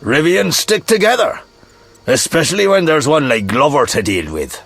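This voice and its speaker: Game supporting role, male: loyal clansman, lively swinging pitch, emphatic, close dry sound. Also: moderate pace, no echo, soft background music, heavily acted. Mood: defiant. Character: defiant male clansman, loyal to his band. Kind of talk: video game voice acting.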